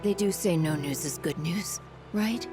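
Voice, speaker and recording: A woman voicing a kindly, hopeful middle-aged mother in a video game, speaking gently and with concern. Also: fairly low pitch, a little slow, music in the background, close to an everyday voice. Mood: wistful, apologetic. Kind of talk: English-language video game voice acting.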